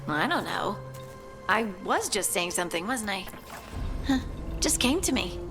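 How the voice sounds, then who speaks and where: An eager young woman adventurer from a video game. Her pitch leaps around with excitement, though she takes her time and falters when shy. Clear game music plays behind her. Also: measured pace. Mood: musing. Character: eager, flustered young woman adventurer learning stone magic. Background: game soundtrack music.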